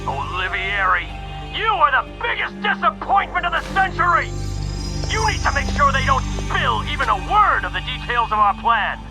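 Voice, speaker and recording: A man's voice: very high-pitched, very animated, normal speed, over music, heavily acted. A male actor playing an agitated, accusing conspirator who scolds his accomplice in a game cutscene. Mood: furious, accusing.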